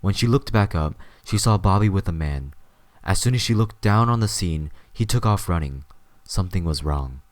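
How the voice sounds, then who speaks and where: Deep-voiced male story narrator. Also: animated, normal speed, no room sound.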